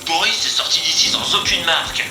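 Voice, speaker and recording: A man's voice: a little high, brisk, natural voice. Guy acting fed-up undercover informant, blunt and sour, audio drama, echoey w music under. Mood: exasperated. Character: bitter, foul-mouthed male undercover informant. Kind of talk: French audio fiction acting. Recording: roomy echo, music underneath.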